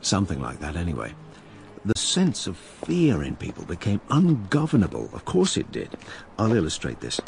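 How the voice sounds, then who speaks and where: A man's voice: deep voice, huge pitch swings, normal speed, creaky, a little mellow, soft background music, exaggerated voice. An actor playing a wry, cynical journal writer who muses aloud as he narrates a radio drama. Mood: musing, vivid. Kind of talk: dramatic first-person narration.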